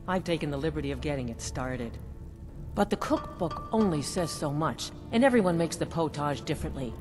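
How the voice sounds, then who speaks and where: An actress playing an eager, fawning castle chef who talks in a hesitant, formal way, as dialogue in a fantasy video game. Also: female voice, lowish voice, lightly acted. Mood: awed, eager. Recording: hall reverb under game music.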